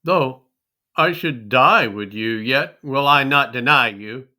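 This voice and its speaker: A man's voice: medium pitch, takes it slowly, pushed and bright. A man voicing an earnest, fervently loyal disciple in a dramatic scripture reading, emphatic and insistent. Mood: fervent vowing. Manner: emphatic, vowing.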